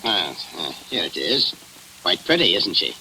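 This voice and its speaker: An American man playing an obliging, fussy private detective in 1940s radio style, polite and slightly halting. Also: sits low, very animated, sharp tone, full character voice. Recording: noisy old radio recording with clicks.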